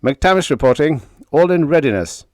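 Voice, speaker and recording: A man's voice: fairly high pitch, animated, moderate pace, no room sound, clean studio sound, voice pushed up, lightly acted. A man voicing a blunt, argumentative spaceship engineer with an emphatic manner in an audiobook story. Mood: ready, loud. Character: blunt, lanky male ship's engineer, argumentative. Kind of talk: dramatised audiobook character dialogue.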